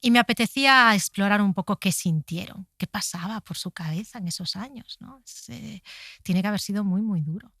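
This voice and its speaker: A woman with an accent from Spain, telling stories conversationally as an author being interviewed, in an expressive way. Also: medium pitch, moderate pace, dry recording, studio quality. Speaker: guest, author and podcast creator.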